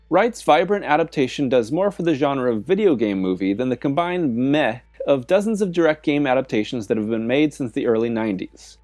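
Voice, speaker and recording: A man's voice: mid-range voice, a little mellow. A man delivering scripted film essay narration as a video essayist, with music playing behind him. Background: background music.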